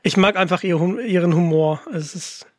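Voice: mid-range voice, fairly even intonation, moderate pace, dry recording, clean studio sound. A podcast host interviewing a guest in a casual, conversational style.

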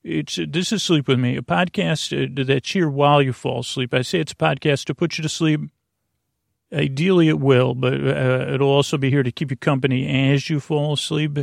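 Creaky-voiced man rambling soothingly. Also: medium pitch, steady tone, no echo, studio quality. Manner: meandering, halting, full of tangents and self-interruptions; soothing, lulling. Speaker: podcast host. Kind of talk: rambling bedtime monologue to help listeners sleep.

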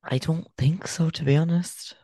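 A man co-hosting a podcast, relaxed and wry, who laughs often. He speaks close to the mic in a dry room. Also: a little high, normal speed, no echo. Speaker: podcast co-host. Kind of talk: casual chat. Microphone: podcast microphone.

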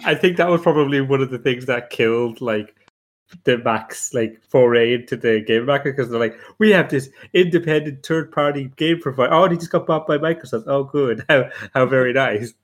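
A man's voice: mid-range voice, normal speed. Rambling man in casual podcast chat. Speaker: podcast panelist.

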